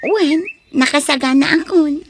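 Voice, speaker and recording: A woman's voice: high-pitched, a little slow. A woman with a Filipino accent playing an earnest, respectful young daughter in an audio drama. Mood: grateful. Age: young adult. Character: earnest, grateful young daughter studying for teacher exam. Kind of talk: Ilocano audio drama acting. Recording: faint background noise.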